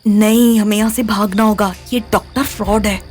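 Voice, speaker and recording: A woman's voice: medium pitch, steady tone, moderate pace, darkish tone, faint music behind, natural voice. A woman playing an anxious but shrewd young woman in an audio drama, urgent, with an Indian accent. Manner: urgent, mixes Hindi with English.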